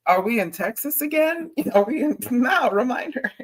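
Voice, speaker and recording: Teasing woman guest with lively pitch. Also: moderate pace, breathy edge. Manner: teasing, bursts into laughter, lively. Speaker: livestream guest. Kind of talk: casual livestream banter.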